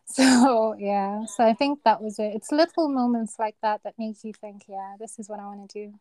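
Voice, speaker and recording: A woman in her thirties from Kenya with a soft voice, chatting casually as an interview guest, laughing often and searching for words. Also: medium pitch, steady tone, normal speed, no echo, poor audio. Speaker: guest, neurosurgery registrar.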